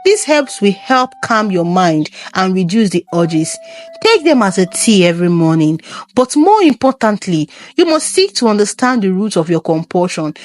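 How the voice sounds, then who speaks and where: A woman storyteller voicing a kind, wise village herbalist who gives gentle advice. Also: medium pitch, natural voice. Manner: gentle, advising.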